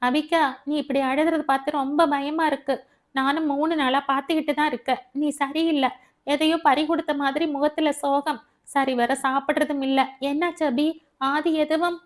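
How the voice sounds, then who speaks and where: Woman voicing an anxious young woman, noticeably high. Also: fairly even intonation, moderate pace, close to an everyday voice. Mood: worried, soothing. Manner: urgent, concerned, questioning. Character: anxious, caring young woman comforting and questioning her friend.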